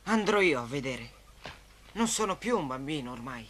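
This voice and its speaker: A performer playing a kind, innocent adolescent boy with an earnest, reassuring manner in an animated Bible story. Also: medium pitch, normal speed, slight background noise. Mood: earnest, dutiful. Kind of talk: dubbed Italian animated cartoon acting.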